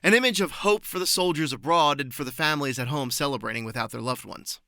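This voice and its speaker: A man giving prepared, articulate answers as an interview guest, informative and measured in his phrasing. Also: a little high, sharp tone, no echo, clean studio sound.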